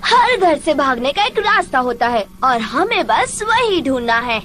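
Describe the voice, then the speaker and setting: A woman's voice: high voice, sing-song, measured pace, a bit bright, exaggerated voice. A woman voicing a cocky, adventurous, headstrong little boy in a dubbed cartoon. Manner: cocky, carefree. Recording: music behind the voice.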